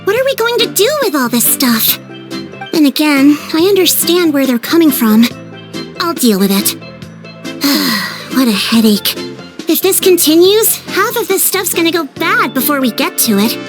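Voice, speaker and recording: Frazzled young village gal for an anime game, high voice, really swingy, music behind. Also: female voice, moderate pace. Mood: exasperated. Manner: exasperated, expressive. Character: young female village party organizer, frazzled but good-hearted. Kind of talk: anime fantasy game acting.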